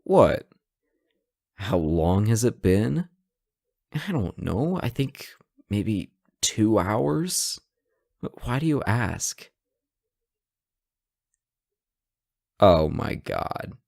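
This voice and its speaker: A man's voice: on the low side, big pitch swings, drawn-out words, gravelly, pauses often, a little mellow, no echo, studio quality, full character voice. A man acting a sarcastic, teasing hostage who taunts a supervillain in a conversational, theatrical roleplay audio drama. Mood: hesitant, puzzled.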